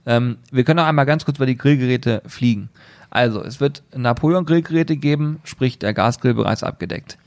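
Man, mid-pitched voice, very dry close sound, easygoing podcast chatter. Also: a little fast, no room sound. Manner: relaxed, rambling, enthusiastic about grilling gear. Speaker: podcast co-host. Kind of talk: casual podcast chat.

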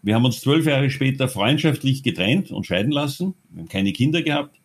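A man from Austria, telling his own story in an interview, halting often with fillers and restarts. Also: medium pitch, expressive, slightly rough.

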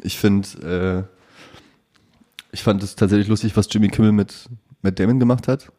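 A man's voice: lowish voice, steady tone, normal speed, dark tone. A man co-hosting a podcast, chatting casually and joking brashly, with frequent swearing. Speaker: podcast co-host. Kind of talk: casual chat.

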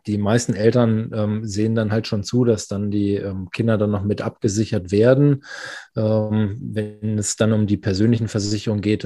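Low, mellow-voiced man explaining insurance. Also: no room sound, studio quality. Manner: relaxed, many fillers and restarts. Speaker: insurance advisor. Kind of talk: casual podcast explanation.

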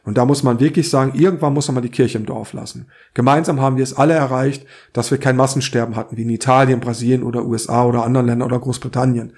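Male podcast co-host, hoarse with a cold, opinionated and earnest delivery. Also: medium pitch, steady tone, very fast, hardly any pauses, a little mellow. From Saarland, Germany. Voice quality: rough, hoarse. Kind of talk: opinionated political podcast talk.

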